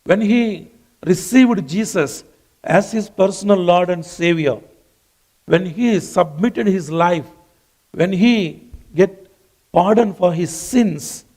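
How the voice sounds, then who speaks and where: A man's voice: sits high, expressive, slow, a little mellow. A man whose first language is not English, preaching a sermon earnestly and repeating phrases for emphasis. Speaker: pastor.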